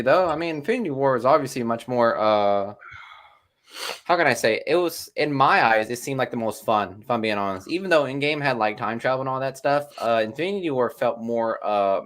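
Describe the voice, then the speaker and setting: A man co-hosting a casual movie podcast, relaxed and profane, searching for words with frequent hesitations. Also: mid-range voice, normal speed. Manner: casual, swears freely, hesitant with many "uh"s. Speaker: podcast co-host. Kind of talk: casual chat.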